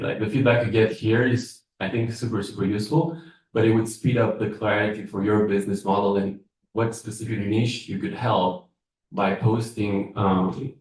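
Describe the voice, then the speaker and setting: A man's voice: fairly low pitch, a little mellow. A man in the audience giving rambling feedback with fillers. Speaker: audience member. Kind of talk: giving feedback at a pitch event. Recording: roomy hall, distant mic.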